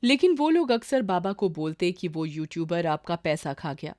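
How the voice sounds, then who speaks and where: A woman's voice: fairly low pitch, sing-song, moderate pace, a little mellow. A woman reading a scripted story as its narrator.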